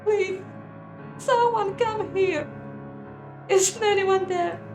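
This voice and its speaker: A woman's voice: extremely high pitch, extremely slow, voice pushed up, heavily acted. A woman voicing a terrified young noblewoman who cries out in frantic, pleading exclamations. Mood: desperate. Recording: roomy echo, music behind.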